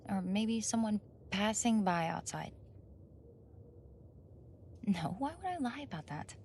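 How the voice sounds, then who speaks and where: A woman's voice: medium pitch, expressive, slow pace, darkish tone, a little hiss or hum. A woman acting a flustered, defensive young roommate caught off guard in an ASMR roleplay, stammering, indignant and evasive. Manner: stammering, indignant, evasive, sighs and nervous laughs.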